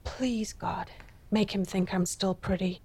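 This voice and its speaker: A woman's voice: fairly high pitch, measured pace, dry recording, pitched above the performer's own voice, lightly acted. Woman doing an eager, pleading young wife. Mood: whispered prayer. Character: anxious, loving young wife.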